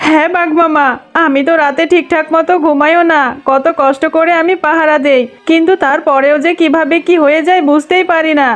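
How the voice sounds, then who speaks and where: Woman doing nervous cartoon animal guard, super high pitch, fretty, talking to self all confused. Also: steady tone, fairly quick, soft background music, lightly acted. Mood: worried, puzzled. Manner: fretful, wondering aloud. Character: anxious, puzzled animal graveyard guard.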